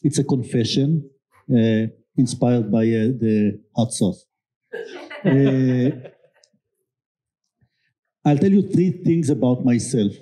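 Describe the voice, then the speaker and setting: A middle-aged man with a thick Israeli accent, telling a candid, self-deprecating story on a panel stage with many pauses and fillers. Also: medium pitch, mellow, poor audio. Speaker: guest, security company executive. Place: event stage before an audience.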